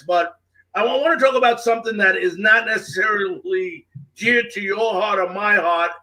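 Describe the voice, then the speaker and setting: A man's voice: high voice, pushed and bright, poor audio. A man hosting a live sports talk show, speaking with an emphatic, announcer-style delivery.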